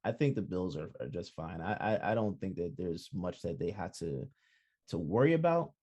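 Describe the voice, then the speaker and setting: A man co-hosting a sports podcast in casual chat, excitable and halting, repeating words as he searches for what to say. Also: low voice. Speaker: podcast co-host.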